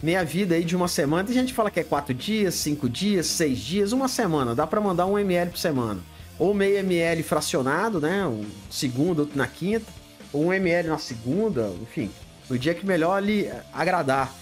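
Brazilian man, 50s, live-stream host; blunt, lively pitch swings, faint music behind. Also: moderate pace. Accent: Brazilian Portuguese. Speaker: livestream host answering viewer questions. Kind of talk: casual live chat. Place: home studio room lit with colored LEDs.

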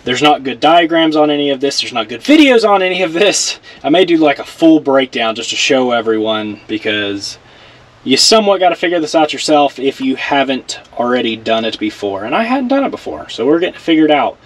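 A man's voice: medium pitch, fairly quick, rough recording. A man casually walking through his car build as a vlogger, explaining each step as he works and joking at his own mistakes. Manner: relaxed, self-deprecating, explains while working. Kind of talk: casual how-to walkthrough. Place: garage and inside a truck.

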